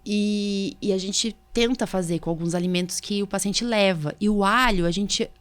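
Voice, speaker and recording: Brazilian woman, medical podcast host, medium pitch, chatty storyteller in casual conversation. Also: normal speed. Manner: chatty, anecdotal, often seeking agreement with tags. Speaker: podcast host, allergist doctor.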